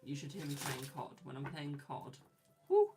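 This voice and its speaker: A man's voice: on the high side, wildly swooping pitch, moderate pace, very noisy. A man in his twenties, a tarot reader, chatting casually on a live stream and reading viewer comments aloud, laughing often. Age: 20s.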